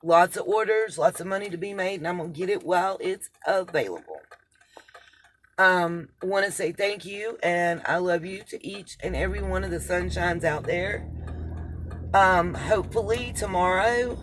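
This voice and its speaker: Slow-talking American woman in a noisy car. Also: lowish voice. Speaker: vlogger. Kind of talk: casual rambling monologue to viewers. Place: inside a moving car. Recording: noisy car interior with road noise. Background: car and traffic noise.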